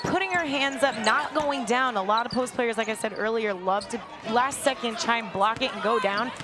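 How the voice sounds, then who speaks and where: A woman's voice: fairly high pitch, normal speed. A young American woman giving live basketball analysis as color commentator, conversational and enthusiastic. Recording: noisy basketball arena ambience.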